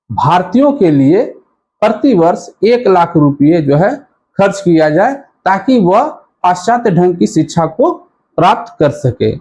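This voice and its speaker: High-pitched man teaching slowly. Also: darkish tone. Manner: didactic, repeats and rephrases key points. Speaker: history teacher. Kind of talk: explanatory exam-prep lesson.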